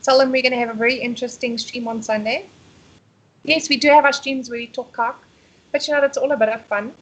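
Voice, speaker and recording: A woman's voice: a little high, fairly even intonation, quick pace, breathy edge, rough recording. A South African woman co-hosting a casual live stream, chatty and laughing often as she reads out viewer comments. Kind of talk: casual chat.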